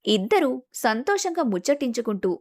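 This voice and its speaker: A woman narrates a scripted family story as its storyteller. Her voice sits somewhat high. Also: big pitch swings, normal speed.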